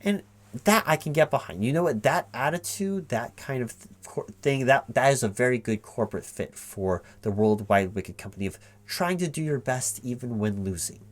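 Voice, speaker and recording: A man playing a flustered, evasive recruiting manager in a one-sided ASMR job interview roleplay, halting, repeating and correcting himself as he rambles. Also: mid-range voice, animated, natural voice. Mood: earnest, approving.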